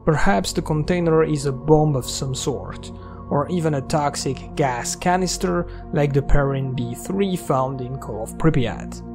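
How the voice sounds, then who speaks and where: A man delivering a scripted video breakdown as narrator, in a measured, explanatory way. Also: fairly high pitch. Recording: music under the voice. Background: video game soundtrack music.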